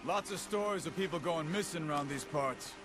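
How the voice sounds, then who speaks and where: A man playing a calm, watchful gang scout in an Old West video game, talking in a measured, cautionary way. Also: fairly high pitch, natural voice. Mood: low-key, cautious. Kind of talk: video game cutscene acting. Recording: loud music behind the voice.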